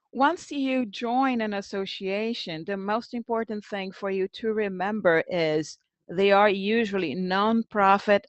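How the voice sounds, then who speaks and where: A woman's voice: mid-range voice, no echo. A woman presenting an informal webinar as host, conversational, thinking aloud and addressing attendees by name.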